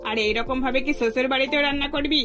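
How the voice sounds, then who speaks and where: A woman's voice: high-pitched, steady tone, very fast, music in the background, lightly acted. A woman voicing a middle-aged mother of a bride in a cartoon, earnest and given to advice. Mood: admonishing. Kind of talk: scripted cartoon dialogue.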